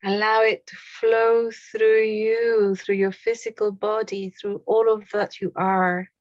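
A woman leading a guided meditation, speaking softly with long pauses between short phrases. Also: medium pitch, flat delivery, no echo, dull audio. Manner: slow, soft, with long pauses.

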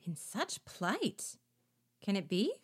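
A woman's voice: mid-range voice, big pitch swings. A woman voicing a romantic, easily flustered young girl who exclaims breathlessly. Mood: alarmed. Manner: breathless, exclaiming, quick mood swings.

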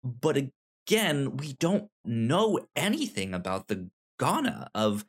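A man's voice: mid-range voice, very animated, slow pace, husky. A man co-hosting a casual book discussion podcast, thinking aloud and often repeating words as he goes. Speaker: podcast co-host reviewing manga.

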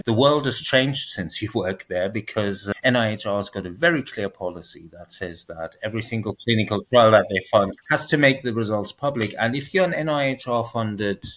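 Man, lower voice, podcast guest; patient explainer with a dry, ironic edge. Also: fairly bright tone. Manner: measured, explanatory, lightly ironic. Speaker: guest, transparency advocate. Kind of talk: podcast interview.

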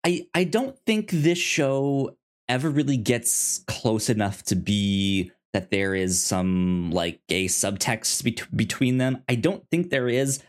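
A man co-hosting a podcast, talking casually about a TV series in a halting, earnest, enthusiastic way and often repeating words. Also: medium pitch. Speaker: podcast co-host.

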